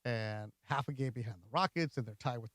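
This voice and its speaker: A man hosting a sports talk radio postgame show, conversational and energetic, with playful asides to listeners. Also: mid-range voice, a little fast, no echo, clean studio sound.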